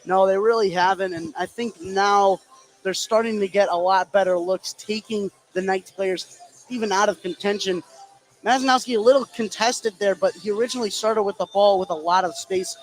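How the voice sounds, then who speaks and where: A man's voice: high voice, normal speed, poor audio. A man giving conversational, reflective color commentary and analysis on a live basketball game.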